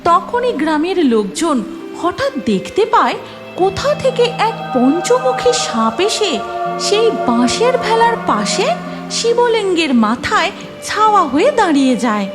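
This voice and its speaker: A woman's voice: extremely high pitch, very animated, a little slow, music in the background. A woman narrating a scripted cartoon story as its storyteller.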